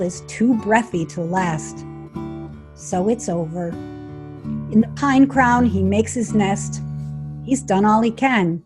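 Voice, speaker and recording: A woman reading a poem aloud in a measured recital style. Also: mid-range voice. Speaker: poetry reader.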